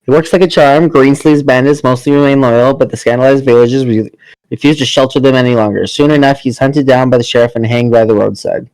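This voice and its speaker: A man's voice: medium pitch, steady tone, quick pace, darkish tone, no room sound, poor audio. A man playing a party game with friends, reading story narration aloud in between casual remarks. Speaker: player reading narration and his character's lines.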